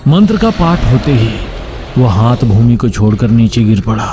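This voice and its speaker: A man's voice: medium pitch, animated, moderate pace, music in the background. A man narrating a scripted cartoon story. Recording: long hall reverb, background noise.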